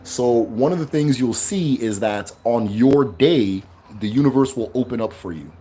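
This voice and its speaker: A man hosting a livestream, explaining ideas in a loud, emphatic voice and checking in with a frequent "right?". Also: mid-range voice, expressive, moderate pace, slight background noise. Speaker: livestream host teaching spirituality. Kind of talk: casual livestream lecture.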